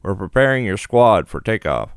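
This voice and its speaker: A man voicing a brisk, reassuring military flight deck engineer in a game, with a breezy, encouraging delivery. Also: on the low side, big pitch swings, clear, resonant tone, no echo, clean studio sound. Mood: brisk, eager.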